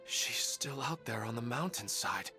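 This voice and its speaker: An actor playing a worried father in a video game, speaking haltingly and confused. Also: mid-range voice, animated. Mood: urgent. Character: worried father, bewildered, then urgent. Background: faint game music.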